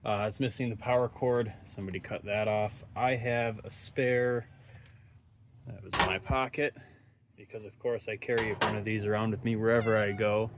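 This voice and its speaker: Low-voiced man casually narrating, noisy background. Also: moderate pace. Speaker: host of radio repair videos. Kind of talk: casual unscripted hands-on repair commentary. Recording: steady background noise, ambient sound.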